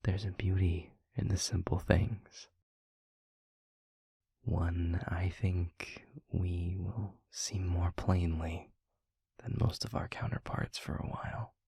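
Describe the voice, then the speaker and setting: A man playing a tender, weary demon lover in an intimate audio roleplay, speaking softly and deliberately in a hushed, breathy, gravelly voice close to the microphone. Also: low-pitched, steady tone, no room sound, full character voice. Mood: wistful, tender. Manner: slow, deliberate, soft, wordy romantic phrasing. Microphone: close microphone, dry.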